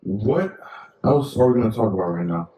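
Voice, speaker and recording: A man's voice: fairly low pitch, lively intonation, normal speed, warm tone, reverberant. A young man co-hosting a podcast in casual, rambling chat full of slang and fillers. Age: young adult. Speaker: podcast co-host.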